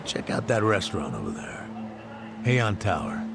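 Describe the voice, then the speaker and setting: A wry, cynical ex-detective. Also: male voice, lowish voice, huge pitch swings, moderate pace, vocal fry, background noise, heavily acted. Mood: sly. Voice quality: wry, teasing. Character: sly cynical middle-aged male ex-detective. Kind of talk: video game cutscene dub. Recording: game cutscene with loud music.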